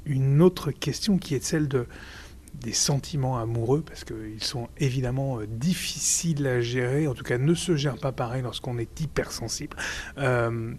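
Man hosting, probing with quick follow-ups. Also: mid-range voice, darkish tone. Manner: probing, short follow-up questions. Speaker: podcast host. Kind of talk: casual interview conversation.

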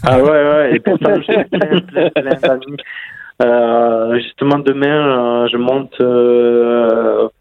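A man with a Toulouse accent, a cycling guest in a casual interview, talking haltingly with many fillers. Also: medium pitch. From southern France. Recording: tinny phone line.

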